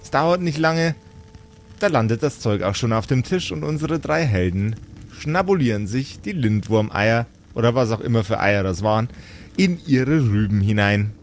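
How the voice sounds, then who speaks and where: A man narrating an improvised fantasy story as game master, with theatrical, relishing, drawn-out phrasing. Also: mid-range voice, expressive, slight background noise.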